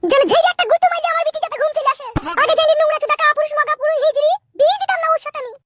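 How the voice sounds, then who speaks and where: Shrill, fast-scolding village mother. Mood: indignant scolding. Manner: brash, nagging, combative. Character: shrill, foul-mouthed, scolding middle-aged village mother. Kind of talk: bawdy cartoon comedy acting.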